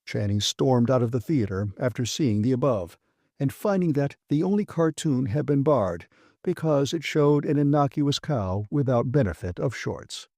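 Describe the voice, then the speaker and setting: A man's voice: mid-range voice, expressive, moderate pace, darkish tone, dry recording, studio quality. A man narrating a science fiction audiobook as scripted reading.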